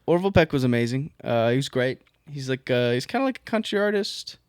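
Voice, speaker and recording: A young man chats loosely with his co-host, at an ordinary middle pitch. He ribs his friend and rambles through his week. The sound is close and dry, with no room in it. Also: lively intonation, quick pace, clean tone, dry recording. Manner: joking, trash-talking, laid-back. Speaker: podcast co-host. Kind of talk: casual banter.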